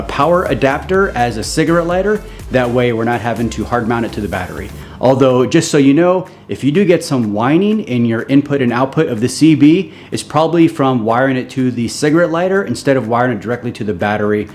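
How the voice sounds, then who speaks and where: A man presenting a casual how-to in an explanatory, measured way, as co-host of a gear channel. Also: medium pitch, darkish tone, a little hiss or hum.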